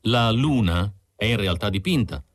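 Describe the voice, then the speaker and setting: A man's voice: fairly low pitch, animated, moderate pace, no echo, clean studio sound, voice pushed up, lightly acted. A man voicing an earnest chief investigator explaining findings on television, emphatic and declarative, in an audiobook. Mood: solemn, revelatory.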